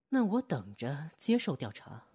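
Woman acting a husky, defiant undercover informant. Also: low-pitched, heavily acted. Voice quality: husky, mellow. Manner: scornful, firm. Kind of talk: Chinese radio drama acting.

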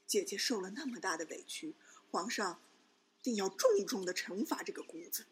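A woman's voice: medium pitch, sing-song, normal speed, slightly rough. A woman playing a sly, protective palace concubine in a Chinese palace radio drama, coaxing and pointed. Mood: indignant, vindictive.

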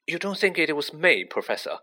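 Man as anxious schoolboy, storybook character, polite, earnest, tentative, somewhat raised pitch. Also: expressive, normal speed, bright, forceful tone, slightly rough, full character voice. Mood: hopeful.

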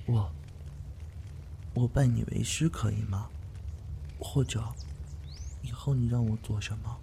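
Bright, eager young fantasy hero. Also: male voice, medium pitch, steady tone, a little slow, creaky, slight background noise. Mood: earnest pleading. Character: playful, curious, eager young man, talented at formations. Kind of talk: Chinese fantasy radio drama acting.

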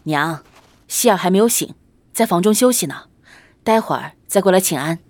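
A woman playing a calm, tender young husband and dutiful son in a Chinese costume audio drama, speaking politely and with composure. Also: lowish voice, moderate pace, no echo.

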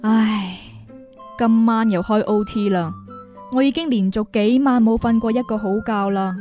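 Actress as overworked young female office worker, radio drama, higher pitch, grumbling and sighing to herself. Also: steady tone, moderate pace, over music, close to an everyday voice. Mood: weary, grumbling. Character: weary, grumbling young female office clerk working overtime. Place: radio studio.

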